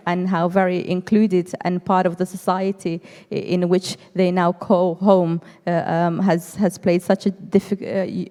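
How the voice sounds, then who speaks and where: A woman moderates a panel in a low, mellow voice. She speaks warmly but haltingly, with frequent ums and restarts, and her voice trails off into a long reverb. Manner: warm, halting, many fillers.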